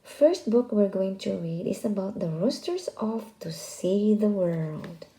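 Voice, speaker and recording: A woman reading a children's story aloud as scripted narration, expressive and deliberate in delivery. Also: medium pitch, poor audio.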